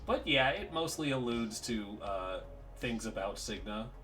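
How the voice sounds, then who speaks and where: A man narrating as game master for a tabletop roleplaying game, relaxed and laughing often. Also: fairly low pitch, measured pace, faint music behind.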